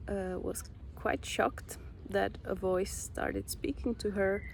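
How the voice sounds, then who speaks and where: A young Czech woman with a Czech accent, slowly sharing a personal spiritual story with many pauses. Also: mid-range voice, steady tone, creaky, slight background noise. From Czech Republic. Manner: slow, reflective, pausing often. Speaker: vlogger.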